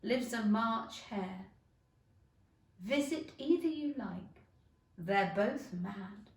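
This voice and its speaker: A woman voicing a grinning mad cat from a children's storybook, teasing and self-assured, with slow, drawn-out delivery. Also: medium pitch, animated, darkish tone. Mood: languid, teasing. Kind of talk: children's storybook character reading. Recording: echoey room.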